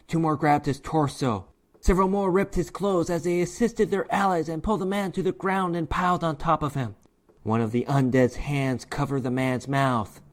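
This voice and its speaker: A narrator giving a dramatic scripted reading of a short story. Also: mid-range voice.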